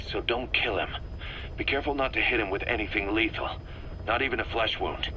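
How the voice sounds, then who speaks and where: A male actor voicing a stern, pragmatic military subcommander in a video game, speaking in a brisk, matter-of-fact, clipped way on a taped briefing. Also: on the low side, pushed and bright, poor audio. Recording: cassette recording with background noise.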